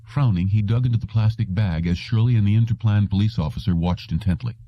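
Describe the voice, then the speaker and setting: A man's voice: lowish voice, fast. A man reading a story aloud as an audiobook narrator, in scripted narration. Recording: roomy, dull lo-fi audio.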